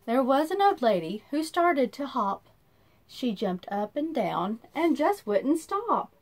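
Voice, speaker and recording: Woman in her thirties, higher-pitched voice, very varied intonation, sing-song children's read-aloud. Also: slow. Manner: animated, sing-song rhyming delivery. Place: small indoor room.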